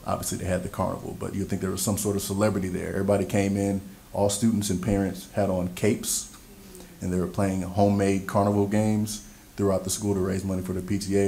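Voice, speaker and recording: Deep, gravelly man with a flat delivery. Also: slight background noise. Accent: Pacific Northwest American. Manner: relaxed, upbeat, easygoing. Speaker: school board member. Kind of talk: board meeting report. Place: board meeting room. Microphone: desk microphone.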